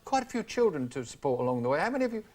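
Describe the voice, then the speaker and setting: A man's voice: fairly high pitch, very animated, rapid-fire, a little mellow. A man hosting a daytime TV interview, teasing his guests with jokey questions. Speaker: TV show host.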